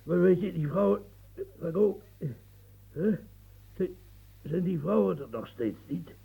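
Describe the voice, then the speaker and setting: An actor in a radio play voicing a husky, fiery old marshal in his seventies, who rants and stammers when flustered. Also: high voice, animated, measured pace, dark tone, full character voice. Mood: indignant flustered. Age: 70s. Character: old marshal of seventy-three, fiery, long-winded reformer.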